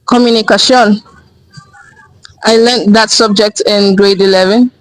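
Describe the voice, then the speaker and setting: A teenage high school student from Nigeria answers interview questions. The student often restarts a sentence before settling into a steady explanation. The voice has a middle pitch and sounds dry, with no room echo. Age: teens. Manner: thoughtful, halting starts, then steady explaining.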